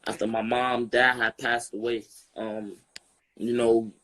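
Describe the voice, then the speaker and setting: A man's voice: mid-range voice, level tone, unhurried, fairly bright tone, poor audio. A young man with a New York accent, a boxer talking casually in an interview, laid-back and often adding "you feel me?". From Brooklyn, New York.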